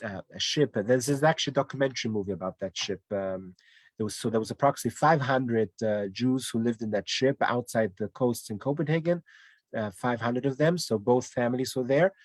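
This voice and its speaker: A man's voice: medium pitch, fairly quick, no echo. A man from Denmark, a guest in a casual online interview, telling his family story in a rambling way with many restarts and fillers.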